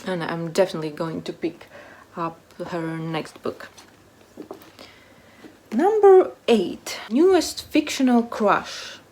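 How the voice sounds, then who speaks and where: A woman with a fairly low voice chats casually as a book vlogger. She speaks slowly and haltingly, often letting phrases trail off softly, with some background noise in the recording. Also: lively intonation. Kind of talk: casual chat to camera, answering a book tag.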